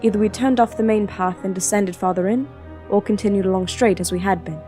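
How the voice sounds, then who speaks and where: Actress as young princess narrator for fantasy audio drama: mid-range, sincere, self-doubting, light music bed. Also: female voice, medium pitch, fairly even intonation, a little fast, dark tone, close to an everyday voice. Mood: reflective, weary. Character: young princess warrior, earnest, self-doubting, remembering her journey. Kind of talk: fan audio drama, first-person narration and dialogue.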